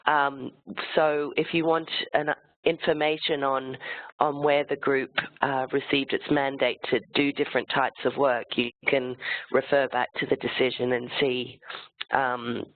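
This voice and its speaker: A webinar presenter with a cold and a croaky voice, explaining the material step by step, slide by slide. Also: medium pitch, level tone, measured pace, no echo. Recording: tinny phone-like line, dry sound.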